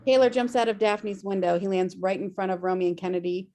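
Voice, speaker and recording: A woman's voice: medium pitch, steady tone, fast talker, mellow. A woman narrating stage directions from a script during a table read on a video call.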